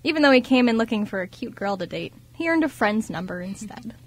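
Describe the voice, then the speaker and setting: A woman's voice: a little high, lively intonation, brisk. A young woman reading scripted story narration for a radio show.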